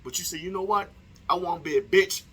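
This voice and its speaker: A man giving a blunt, profane opinion monologue, talking straight to the listener and swinging between low, quiet remarks and loud outbursts. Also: quick pace, a little hiss or hum. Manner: direct address to the listener. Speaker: commentator.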